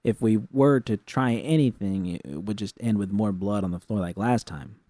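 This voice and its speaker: A man voicing an excitable, earnest young woman technician in an audiobook story, with urgent, halting, slangy speech. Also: on the low side, dry recording, lightly acted.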